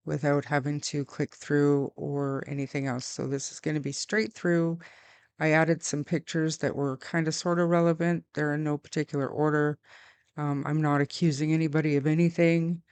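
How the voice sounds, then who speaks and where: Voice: medium pitch, a little mellow, smooth, no echo. A narrator casually introducing a compilation in informal, plain-spoken language.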